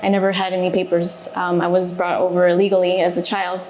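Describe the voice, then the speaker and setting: A woman's voice: on the low side, monotone, darkish tone, a little hiss or hum. Thoughtful woman in echoey room. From Mexico, raised in Alaska. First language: Spanish. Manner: thoughtful, pausing with fillers. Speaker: guest, reservations manager. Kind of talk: casual interview answers. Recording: echoey room, faint ambience.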